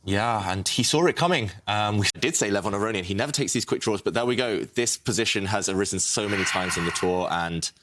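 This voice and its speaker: A man's voice: fairly low pitch, brisk, hardly any pauses, noisy background. A man commentating on a live chess broadcast in a frank, conversational way.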